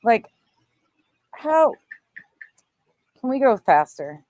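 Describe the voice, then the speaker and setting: A woman's voice: on the high side, very animated, extremely slow, a little mellow, no echo, highs cut off. A woman giving casual, sarcastic livestream commentary, blunt and mocking, with some swearing. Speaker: livestream host reacting to another creator's stream.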